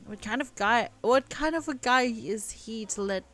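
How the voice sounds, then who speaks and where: A woman's voice: fairly high pitch, moderate pace, fairly bright tone, natural voice. A woman voicing a protective, caring young friend who talks in a soothing, reassuring way. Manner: soothing, then indignant.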